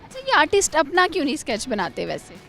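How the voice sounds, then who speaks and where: A young woman with a high voice runs a playful interview at a college, firing quick teasing follow-ups. Faint music plays under her voice. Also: wildly swooping pitch, vocal fry, bright, forceful tone. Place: college campus.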